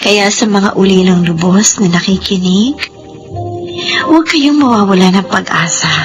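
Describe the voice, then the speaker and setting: A woman playing an earnest young orphaned woman in a radio drama, speaking with deep emotion. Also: a little slow, lightly acted. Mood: reflective. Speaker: narrator of her own story. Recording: radio drama with music underneath. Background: soft music.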